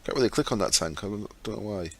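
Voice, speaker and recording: A man with a fairly low voice and a sore, croaky throat, talking through his game. He chats casually as he explains each step, with plenty of ums and asides. He speaks into a desktop mic as he records his screen. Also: fast talker. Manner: chatty, explains step by step with fillers. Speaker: gaming channel host.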